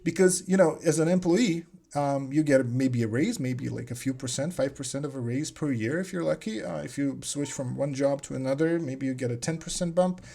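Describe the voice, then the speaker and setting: A man's voice: mid-range voice, fairly quick, gravelly, few pauses, dark tone. A man, talking alone about the business mindset in a reflective way and often repeating words as he searches for phrasing. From Soviet Union (Leningrad). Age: 40s. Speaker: business coach. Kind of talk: solo talk on business mindset.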